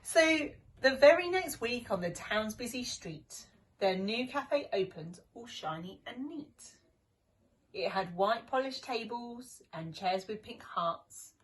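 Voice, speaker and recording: A woman's voice: a little high, rough recording. A woman reading a children's rhyming story with dramatic, rhythmic expression. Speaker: deputy headteacher reading a story.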